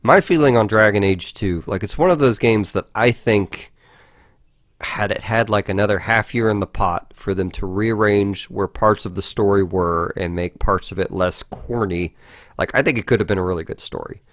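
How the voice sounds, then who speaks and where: A man co-hosting a podcast, casually discussing video games and haltingly thinking aloud, with some swearing. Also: on the low side, no echo, clean studio sound. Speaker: podcast host.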